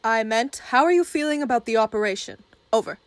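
An actress playing a businesslike, exasperated police surveillance handler in a comedic radio play. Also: female voice, on the high side, expressive, a little fast, dry recording, studio quality, natural voice.

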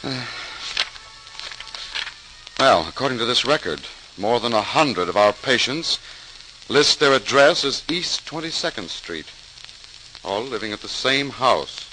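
Lively, bright-voiced earnest young surgeon. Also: male voice, medium pitch, a little slow, pauses often, rough recording. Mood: thoughtful. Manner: polite, thoughtful. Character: earnest, inquisitive thirty-year-old surgeon. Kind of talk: old-time radio acting. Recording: old radio recording, faint hiss.

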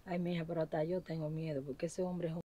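Woman playing a low, warm-voiced housekeeper. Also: flat delivery, quick pace. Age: middle-aged. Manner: familiar, confiding. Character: kindly middle-aged housekeeper, fearful of her boss. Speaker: actress. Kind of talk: web drama acting. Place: outdoors at a farm gate.

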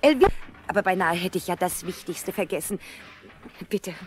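A woman's voice: lowish voice, expressive, normal speed, close to an everyday voice. An actress playing a chatty, flippant young woman traveller in a 1970s German radio play, gushing and cheerfully familiar. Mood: chatty, coaxing.

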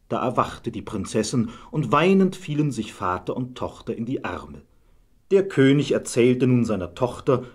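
A man narrating a scripted fairy tale as a measured storyteller. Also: medium pitch, slightly rough.

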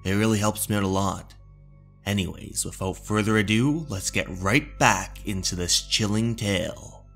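A man narrating a scripted horror story as host, deliberate, with dramatic pauses. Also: on the low side, gravelly, fairly bright tone, faint music behind.

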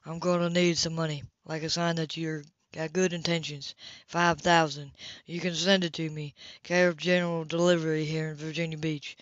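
A woman's voice: low voice, flat delivery, normal speed, no echo, close to an everyday voice. A woman reading a novel as a man who recites a sly, self-pitying letter. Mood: grim, restrained. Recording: dull lo-fi home recording.

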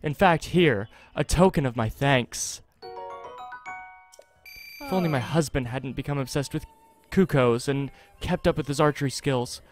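A man's voice: medium pitch, a little slow, natural voice. Man reading as a wistful married village woman. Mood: wistful, grateful. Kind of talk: reading game dialogue aloud. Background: game music.

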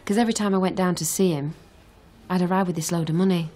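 An actress playing a guarded, defiant young con artist in a naturalistic radio drama. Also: female voice, fairly low pitch, fairly even intonation, quick pace, dark tone, close to an everyday voice. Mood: confessional. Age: young adult.

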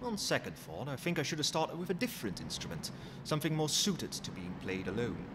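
A man's voice: mid-range voice, sing-song, fairly quick, lightly acted. A man playing a courteous, cheeky undead skeleton butler in an ASMR roleplay, with a formal, theatrical manner. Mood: sheepish. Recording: long hall reverb, noisy ambience.

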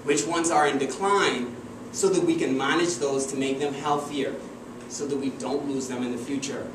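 A man's voice: medium pitch, fairly even intonation, normal speed. Young man from the Bahamas presenting in an echoey lecture room. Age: young adult. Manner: earnest, emphatic, standing with open gestures. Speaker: PhD student presenter. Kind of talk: memorized competition talk. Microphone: far camera, no visible mic. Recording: echoey room from far off, air-conditioning hum.

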